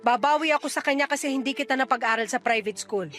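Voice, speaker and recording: An actress playing a flighty, doting middle-aged mother in a radio drama, with a breezy, teasing, expressive delivery. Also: high-pitched, fast talker, soft background music. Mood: indignant, protective. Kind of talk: Tagalog radio drama acting.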